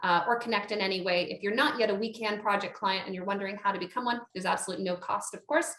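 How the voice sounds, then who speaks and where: A woman's voice: mid-range voice, fairly even intonation, fast talker, a bit bright. A Canadian woman hosting a virtual networking event, chatty, upbeat and encouraging. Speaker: event host. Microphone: video call. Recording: echoey room on a video call.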